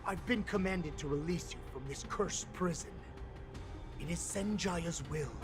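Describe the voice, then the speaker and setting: A cunning, deferential beast-clan counselor played by a man in a video game story. His voice sits fairly high, with formal, theatrical flattery. Music plays clearly underneath. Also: a little slow, close to an everyday voice. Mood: scheming. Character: scheming, deferential male wolf-clan counselor in disguise. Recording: music behind.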